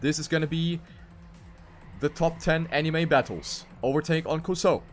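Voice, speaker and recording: High-pitched male esports race commentator. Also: normal speed, soft background music. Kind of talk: live video game race commentary.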